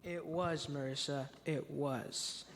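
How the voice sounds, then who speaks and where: A man's voice: fairly high pitch, steady tone, takes it slowly, slight background noise. A male performer playing an easygoing, goofy, food-loving boy with a casual, eager manner in a church reader's theater. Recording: echoey hall with long reverb.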